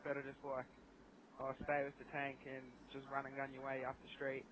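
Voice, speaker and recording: Male gaming-chat guest, rapid and extremely monotone, clearly noisy recording. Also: medium pitch. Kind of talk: casual chat.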